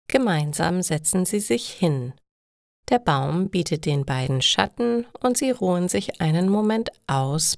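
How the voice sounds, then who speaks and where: Slow, low-pitched woman reading stories. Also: dry recording, clean studio sound. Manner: careful, simple, clearly separated phrases. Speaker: narrator of stories for language learners. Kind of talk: scripted storytelling narration for learners.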